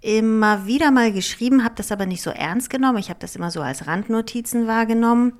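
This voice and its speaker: A woman in her thirties talks openly about her life as a guest in an interview. She was born in Germany, grew up partly in Greece, and her first language is Greek. She speaks in a reflective, unhurried way, with plenty of small fillers and restarts. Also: fairly high pitch. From Germany, raised partly in Greece. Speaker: author and performer, guest. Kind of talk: relaxed conversational interview.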